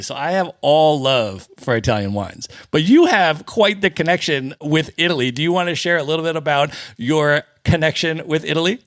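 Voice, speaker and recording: A man's voice: mid-range voice, fairly bright tone, no room sound, clean studio sound. A man hosting a travel podcast, reading an introduction and interviewing with upbeat enthusiasm. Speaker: podcast host.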